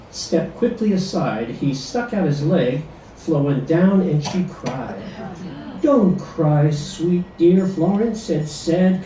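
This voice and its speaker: Theatrical high-pitched man reciting comic verse. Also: dark tone. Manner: dramatic, playing to an audience. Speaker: reader performing a comic poem. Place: club performance room. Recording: echoey, noisy stage recording from far off.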